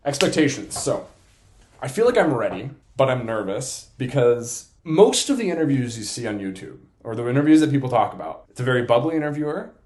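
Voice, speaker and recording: A young man chatting casually to camera as a vlogger, playful and self-deprecating in an excitable way. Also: medium pitch, brisk, reverberant. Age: young adult. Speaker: vlogger and college program applicant.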